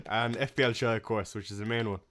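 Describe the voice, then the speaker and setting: A young man with a Waterford Irish accent, hosting a casual fantasy football livestream, chatting with viewers and answering comments with plenty of fillers and soft laughs. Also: mid-range voice, sing-song, moderate pace. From Ireland. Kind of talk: casual live-stream chat reading comments.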